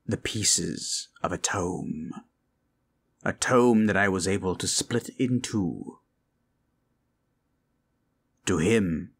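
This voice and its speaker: A grave sorcerer, played by a man, speaks very low and slowly, with a husky rasp. He is solemn and commanding, a dark figure turned to good in a fantasy ASMR roleplay. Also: exaggerated voice.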